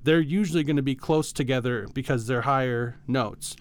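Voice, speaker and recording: A man's voice: mid-range voice, moderate pace, no echo. A man co-hosting a podcast, explaining things step by step in a casual, informal way. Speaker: podcast co-host.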